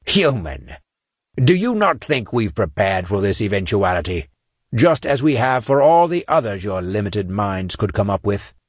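A man's voice: fairly low pitch, sing-song, normal speed, slightly rough, dry recording. A regal bird-like alien captain, played by a male narrator in a sci-fi audiobook. He is formal, haughty and mocking. The recording is close and dry.

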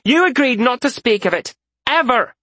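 A male narrator voicing Isaria, a proud noble warrior woman who scolds and shouts. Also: extremely high pitch, sing-song, moderate pace, no room sound, pitched above the performer's own voice, exaggerated voice. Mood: scolding.

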